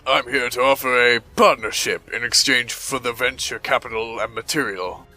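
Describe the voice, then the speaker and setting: A calculating gentleman tycoon played by a man speaks in a bright, forward voice at medium pitch. He is courteous, wordy and businesslike, in comic-dub drama with faint music. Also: fairly even intonation, normal speed. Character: smooth-talking, profit-minded gentleman industrialist.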